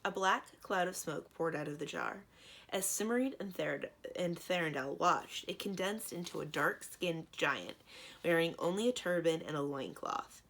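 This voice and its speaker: Low-pitched woman reading a storybook aloud. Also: animated, moderate pace, creaky, a bit bright. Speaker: library storyteller. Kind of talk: children's storybook narration.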